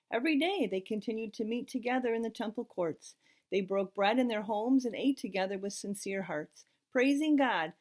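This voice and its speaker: A woman addressing a congregation as a scripture reader, with a plain, measured delivery. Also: mid-range voice, a little mellow. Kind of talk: reading aloud and informal church greeting.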